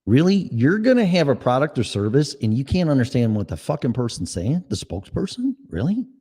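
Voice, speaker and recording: A man's voice: medium pitch, huge pitch swings, a little fast, dark tone, husky. A man with a Chicago accent hosting a solo podcast, ranting in a blunt, sarcastic and profane way. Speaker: podcast host.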